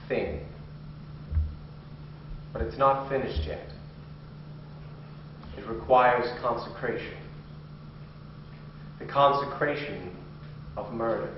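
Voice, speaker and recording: An actor playing a brooding vampire occultist in a theatrical monologue, speaking dramatically and haltingly, with long pauses. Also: male voice, on the low side. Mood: ominous, brooding. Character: brooding male vampire occultist confessing his dark transformation. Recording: very echoey room, distant dull mic, faint hiss.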